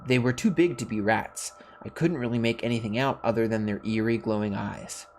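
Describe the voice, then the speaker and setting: A man's voice: on the low side, steady tone, a little fast, slight background noise. A man hosting a gaming video, reading a visual novel's narration aloud and dropping in casual asides. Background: faint music.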